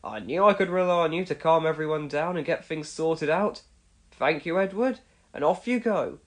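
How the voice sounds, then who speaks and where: A kindly gentleman in charge of a railway, acted by a male narrator. He speaks with reassuring authority in a high, animated storybook voice. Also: moderate pace, lightly acted. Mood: pleased, praising.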